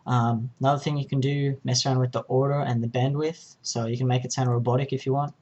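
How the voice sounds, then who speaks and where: A man narrating an informal software tutorial, explaining each step in a hesitant way. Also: medium pitch, little pitch movement, fast, muffled recording, slight background noise. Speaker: tutorial presenter.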